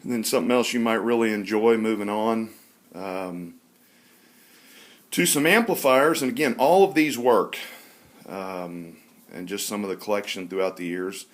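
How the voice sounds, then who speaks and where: Low-voiced man giving an informal tour. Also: normal speed. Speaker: hobbyist radio collector. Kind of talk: informal show-and-tell tour narration.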